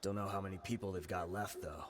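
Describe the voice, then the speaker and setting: An actor playing a gruff, seasoned mercenary comrade in a video game, with a wry, rough-and-ready manner. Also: male voice, low voice, steady tone, fast talker, crowd noise, lightly acted. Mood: confident.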